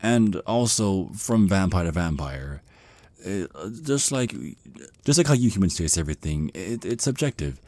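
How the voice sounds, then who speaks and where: Man voicing a shy male vampire, ASMR roleplay, deep pitch, nervous stammer, apologetic rambling, dry recording. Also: animated, moderate pace, darkish tone, no echo. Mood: nervous, awkward. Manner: stammering, hesitant, flustered, rambling. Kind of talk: ASMR roleplay monologue, conversational.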